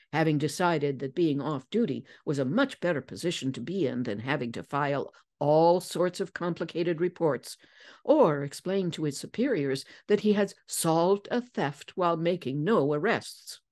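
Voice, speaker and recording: A woman giving a dramatic audiobook narration, measured and theatrical, with careful enunciation. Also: on the low side, darkish tone. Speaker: narrator, voice actor.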